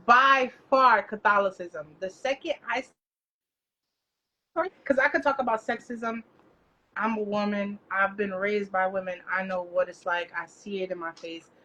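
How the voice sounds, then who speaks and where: A woman's voice: mid-range voice, a bit bright, highs cut off. Passionate Dominican woman, emphatic and blunt. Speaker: author guest. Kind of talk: candid interview talk.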